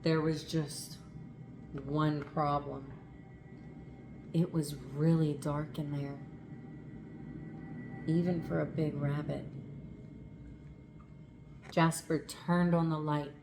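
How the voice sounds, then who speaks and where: Lady reading a kids book out loud, really slow n dramatic, stretches words, bit of background noise. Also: sits low. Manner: expressive, stretches words for suspense. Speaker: storyteller reading a picture book aloud. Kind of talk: dramatic children's story narration.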